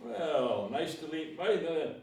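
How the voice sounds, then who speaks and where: Oldest buddy, seventies, welcoming and unhurried, played by a man; slightly high voice, distant roomy table read. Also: very animated, creaky, darkish tone, exaggerated voice. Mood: friendly. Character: welcoming man in his seventies, young at heart. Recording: very echoey room, off-mic, faint hiss.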